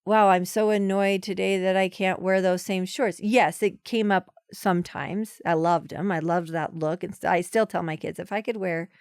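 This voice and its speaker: A woman's voice: on the low side, steady tone, moderate pace, hardly any pauses, a little mellow, smooth, dry recording. Chatty woman in her forties, dry sound. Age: 40s. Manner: chatty and emphatic, many restarts. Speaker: podcast guest. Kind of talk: casual conversational interview.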